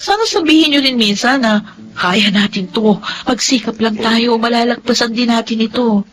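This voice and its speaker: An actress with a Filipino accent playing a struggling, persevering student and dutiful daughter in an audio drama. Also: female voice, on the high side, moderate pace, background noise, close to an everyday voice. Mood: frustrated. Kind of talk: Filipino radio-drama style acting.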